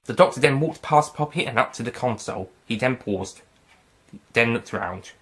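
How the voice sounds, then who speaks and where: A man narrating a fan-written story aloud in a plain, steady reading voice. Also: mid-range voice, moderate pace, heavy background noise. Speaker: narrator and writer.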